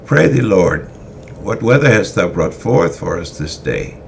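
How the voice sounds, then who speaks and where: A man's voice: sits low, sing-song, measured pace, creaky, pitched above the performer's own voice, full character voice. A man voicing a kind, caring, tiny village woman who speaks in archaic formal phrases, over faint background noise. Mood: pleading. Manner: archaic formal speech, raised voice.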